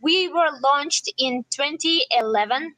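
A woman with as her interprets into English, rendering each phrase right after the speaker and sometimes loosely. Her voice is high and bright. The recording is close and dry. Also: slow, no echo, low-quality recording.

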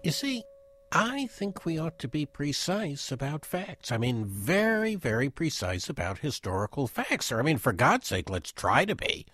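An actor playing a wry, self-deprecating intellectual who rambles hesitantly through a radio play monologue. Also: male voice, fairly high pitch, sing-song, moderate pace, fairly bright tone, no echo. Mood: pedantic, amused. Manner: hesitant, digressive, chuckling asides. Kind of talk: conversational dramatic monologue.

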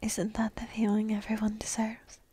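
A woman's voice: fairly high pitch, completely flat delivery, moderate pace, warm tone. A woman playing a petite, entitled but devoted dragon princess in a whispered, coy and intimate fantasy ASMR roleplay. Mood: vulnerable, tender. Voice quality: breathy, whispery. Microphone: close microphone, dry.

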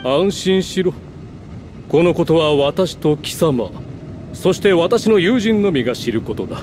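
Pompous, high-voiced scheming aristocrat. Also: male voice, animated, measured pace, darkish tone, a little hiss or hum. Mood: smug, imperious. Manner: haughty, theatrical, grandly declaiming. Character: pompous scheming aristocrat, proud adult man. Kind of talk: anime video game voice acting. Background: game music.

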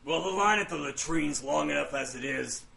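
A man playing a fastidious demon torturer in a comic fantasy audio drama, put-upon and offhand like a grumbling workman. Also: fairly high pitch, creaky, bright, forceful tone, slight background noise, full character voice, rough recording. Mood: weary, offhand. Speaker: voice actor.

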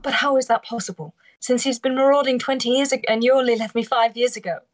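A woman's voice: fairly high pitch, steady tone, fast talker, dry recording, close to an everyday voice. A woman voicing an anxious, doubtful young heroine in an amateur script table read.

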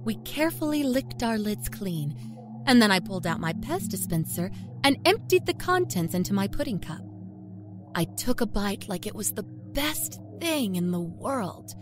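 Woman, horror story narrator, dramatic first-person reading, expressive, varied intonation, faint score behind. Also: mid-range voice, moderate pace, over music. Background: musical score.

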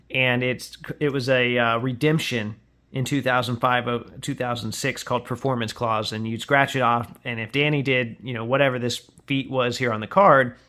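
A man's voice: mid-range voice, fairly bright tone. A man hosting in a casual, conversational way, explaining items as he shows them in an unboxing. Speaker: podcast host. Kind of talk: casual unboxing commentary on sports cards. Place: indoors at a tabletop, hands-only camera.

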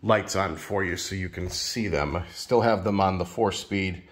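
A man filming a casual home vlog in his sunroom, a little nasal and stuffed up from a sinus infection, talking in a relaxed, rambling, friendly way. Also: sits low, normal speed. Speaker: ceiling fan collector vlogger. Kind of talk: casual show-and-tell vlog.

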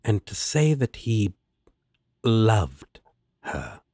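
A man acts out a smug male demon in an audio roleplay, sardonic yet protective. He drawls slowly and teasingly, the pitch swooping widely, with a husky edge to the voice. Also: very animated, dark tone, no echo, studio quality, full character voice. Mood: sardonic, explaining.

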